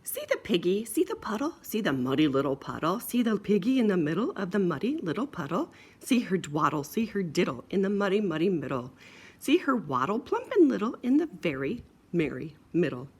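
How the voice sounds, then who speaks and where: A woman storyteller reads a picture book aloud with hugely animated pitch. Her delivery is playful and sing-song, with a husky, breathy tone and chatty asides. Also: brisk.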